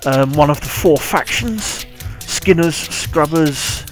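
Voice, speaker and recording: A man's voice: fairly high pitch, slow pace, rough recording. A British man giving casual, informal live commentary on a video game, muttering and repeating himself as he plays. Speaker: gameplay commentator. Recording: game music behind the voice.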